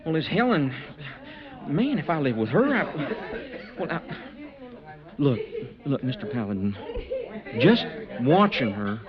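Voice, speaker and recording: An actor playing a bashful young cowhand in an old radio western, with a folksy, stammering, blustering manner and a rural Western American accent. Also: male voice, a little high, very animated, unhurried, pauses often, crowd noise. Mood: nervous, sheepish. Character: bashful, flustered young cowhand, reluctant new groom. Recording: muffled vintage radio recording, music behind.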